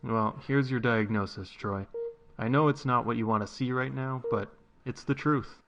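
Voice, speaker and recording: A man's voice: mid-range voice, fairly even intonation, normal speed, gravelly, natural voice. A male actor playing a solemn, matter-of-fact doctor in a video game. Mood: grave. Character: grave male doctor delivering bad news. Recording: muffled game audio with music.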